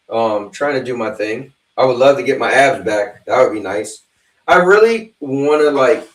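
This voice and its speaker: A man's voice: medium pitch, far from the mic. A man of fifty hosting a livestream, chatting loosely and rambling as he talks straight to his viewers. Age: 50s. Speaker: livestream host. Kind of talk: casual chat with a livestream audience. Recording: roomy sound, away from the mic.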